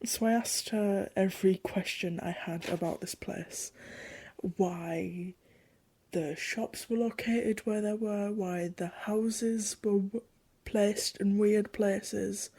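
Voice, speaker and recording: A woman playing a curious, chatty traveller in an audio drama diary, soft and husky, with hesitant, informal phrasing and dry humour. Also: medium pitch, steady tone, slow pace, dry recording. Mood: fond, curious. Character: chatty, curious female traveller journaling a strange new world.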